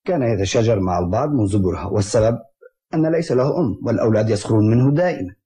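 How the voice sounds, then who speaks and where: An actor playing a polite, serious young gentleman doctor in a dubbed cartoon, speaking formal Arabic courteously and earnestly. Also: fairly low pitch, lively intonation, breathy edge. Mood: serious, concerned. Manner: courteous, measured wording, earnest.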